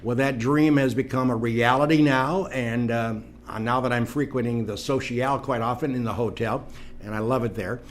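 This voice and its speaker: A middle-aged man hosts a local talk show at a middle pitch. He rambles in a chatty way and leans on frequent fillers. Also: fairly even intonation, moderate pace, slight background noise. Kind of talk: casual talk show hosting.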